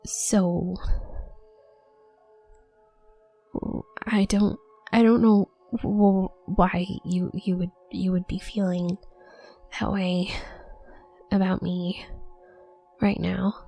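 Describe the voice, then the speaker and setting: Woman acting a shy, stammering, slow-spoken young witch. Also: on the low side, little pitch movement, gravelly, mellow, faint music behind, full character voice. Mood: flustered, anxious. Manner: heavy nervous stammer, halting and hesitant. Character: shy, awkward, flustered young witch classmate, female college student. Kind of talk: roleplay audio drama addressed to the listener.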